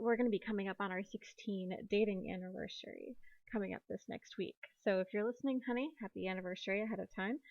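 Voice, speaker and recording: A woman in her thirties hosting her own podcast, talking in a chatty, quick way with frequent apologetic asides. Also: mid-range voice, dry recording, lo-fi sound. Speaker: podcast host. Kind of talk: casual solo podcast chat.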